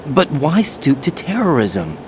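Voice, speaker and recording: An actor playing an earnest, incredulous young soldier in a video game drama, speaking in clipped, questioning phrases. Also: male voice, a little high, huge pitch swings, measured pace, a little hiss or hum. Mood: shocked, incredulous. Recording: game soundtrack music underneath.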